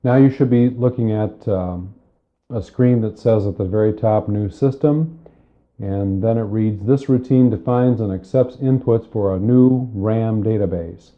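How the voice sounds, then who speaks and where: A man giving a methodical, step-by-step spoken computer lesson, explaining with pauses, recorded on cassette tape. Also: fairly low pitch, phone-quality sound. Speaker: software tutorial instructor.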